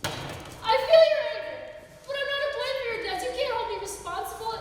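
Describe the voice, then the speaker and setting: A woman's voice: very high voice, a little fast, slight background noise. An actress playing a frightened young woman who believes ghosts are haunting her, frantic and pleading. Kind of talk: stage play acting. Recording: echoey hall from far off.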